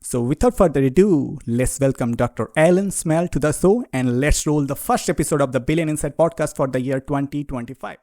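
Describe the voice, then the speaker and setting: Polite, formal podcast host, dry sound. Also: male voice, on the high side, fairly quick, few pauses, warm tone, no room sound. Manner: formal, polite, addresses guest as sir. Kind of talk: scripted intro then interview questions.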